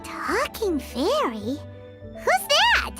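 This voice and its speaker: A woman voicing a small, chirpy, childlike talking fairy in a video game, excitable and squeaky. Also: very slow, full character voice. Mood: puzzled, eager. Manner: refers to self in third person, exclamatory. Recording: game music behind the voice.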